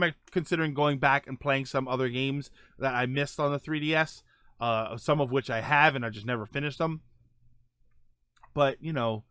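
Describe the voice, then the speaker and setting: Man, livestream host, crisp bright tone, close dry sound, casual halting chat, repeated phrase openings. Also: on the high side, no echo. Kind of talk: casual chat with live viewers, gaming and film talk.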